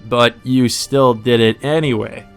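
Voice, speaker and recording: A man's voice: mid-range voice, steady tone, unhurried, gravelly. A man playing an anguished young visual novel protagonist, urgent, incredulous and accusing. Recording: music under the voice.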